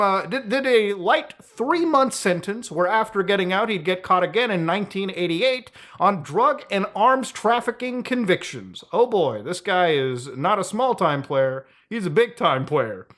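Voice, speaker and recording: Young male host with a high voice, sarcastic and excitable, tosses in crude slang and trips over his own words. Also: moderate pace. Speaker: YouTube host. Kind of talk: casual commentary video.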